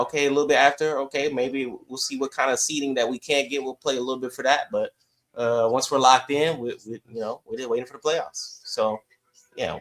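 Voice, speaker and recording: A man's voice: mid-range voice, fairly bright tone. A man with an American accent chatting casually as co-host of a sports podcast.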